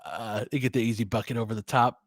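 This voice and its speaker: A man's voice: medium pitch, steady tone, fast talker, dry recording. A man with a mid-range voice hosts a live basketball call in a casual, chatty way. He mixes play-by-play with opinionated asides. The sound is dry, with very little room on it. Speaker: play-by-play commentator and host. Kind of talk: casual live basketball commentary with fan chat.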